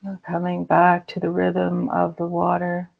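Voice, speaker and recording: A woman leading a guided meditation in a slow, soothing way with gentle pauses. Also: on the low side, monotone, creaky. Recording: dull lo-fi recording.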